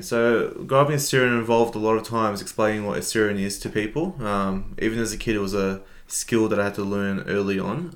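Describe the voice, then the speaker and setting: Young Australian male, lowish pitch, easygoing podcast co-host with dry, self-deprecating delivery. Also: a bit bright. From Melbourne, Australia. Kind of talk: casual podcast chat.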